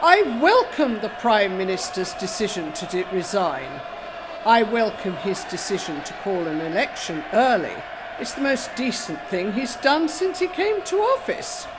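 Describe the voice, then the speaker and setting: A woman's voice: mid-range voice, sing-song, measured pace. A woman playing a scornful Leader of the Opposition, making a pointed, formal parliamentary speech in a radio drama. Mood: triumphant, cutting. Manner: pointed, declamatory. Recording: noisy chamber with crowd murmur.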